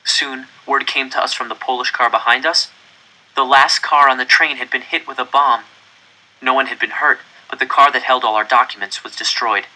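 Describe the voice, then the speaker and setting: A man reading a first-person story aloud in an expressive, deliberate, dramatic way. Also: mid-range voice, low-quality recording. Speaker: audiobook reader.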